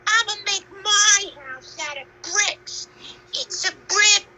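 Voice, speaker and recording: A woman's voice: very high voice, takes it slowly, sharp tone, slight background noise, exaggerated voice. A woman playing a proud, practical young pig in a storytelling piece, sassy and offhand. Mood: smug.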